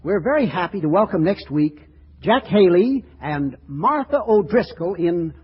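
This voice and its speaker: Animated 1940s male radio host. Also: high voice, measured pace, slightly rough. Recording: dull, noisy 1940s radio recording.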